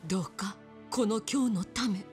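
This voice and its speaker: An actress playing a poised, determined innkeeper with a Kyoto accent in a period game drama, genteel, polite and deliberate. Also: female voice, medium pitch, over music, natural voice. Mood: earnest pleading.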